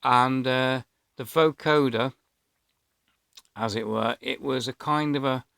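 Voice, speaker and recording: A man's voice: medium pitch, steady tone, takes it slowly, gravelly, fairly bright tone, clean tone, dry recording, clean studio sound. A man, telling a personal story casually to camera in a hesitant, rambling way. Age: 50s. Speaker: vlogger.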